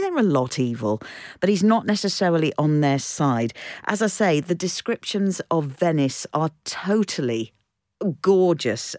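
A woman presenter giving a prepared but chatty book review in an expressive, emphatic way. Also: low-pitched, no echo, clean studio sound. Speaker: radio presenter.

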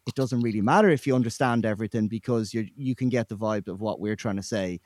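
A man's voice: on the low side, quick pace, a little mellow, no echo. A man, a musician answering interview questions thoughtfully and with some hesitation. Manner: thoughtful, hesitant, many likes. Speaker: musician guest.

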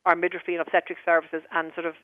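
A brisk Irish woman speaks quickly down a tinny, phone-like line. She uses an official, reassuring tone and keeps things moving. Her voice sounds dry and close, with no room around it. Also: fairly low pitch, completely flat delivery, no echo. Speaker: hospital director of midwifery. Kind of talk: phone-in radio interview.

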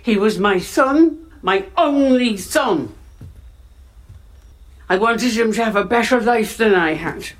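A woman's voice: a little high, lively intonation, takes it slowly, long pauses. An actress playing a grave older Irish mother weighed down by guilt over her son, in a film clip. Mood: stern, regretful. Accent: Irish (put on by actor).

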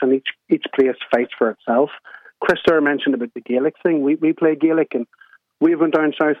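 A man from Northern Ireland with a Northern Irish accent, calling in to a radio show and chatting in a relaxed way. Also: mid-range voice, dry recording. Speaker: radio caller. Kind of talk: casual phone-in chat. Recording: tinny phone line.

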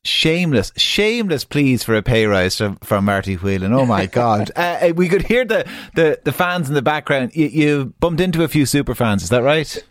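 A man's voice: mid-range voice, big pitch swings, moderate pace, few pauses, no room sound. An Irish man hosting a radio show, teasing his reporter in a link-up. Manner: wry and teasing. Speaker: studio radio host.

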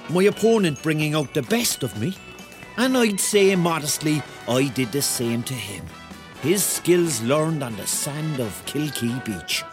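An actor playing a Cork man in his thirties, narrating a radio drama in a wry, colloquial way with a Cork Irish accent. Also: medium pitch. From Cork, Ireland. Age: 30s. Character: thirty-year-old Cork man, wry, dreamy storyteller. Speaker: narrator and lead character. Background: music.

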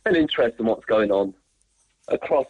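Animated London man on a phone-in. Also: lowish voice, normal speed, breathy edge, no echo. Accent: London English. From London, England. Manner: chatty, animated, laughs easily. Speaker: caller. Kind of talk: phone-in chat. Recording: phone line.

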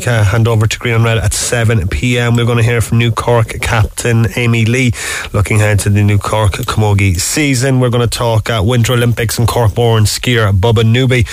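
Upbeat Irish sports radio host. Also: male voice, mid-range voice, no room sound. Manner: chatty and enthusiastic, laughs easily. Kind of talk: live radio presenting.

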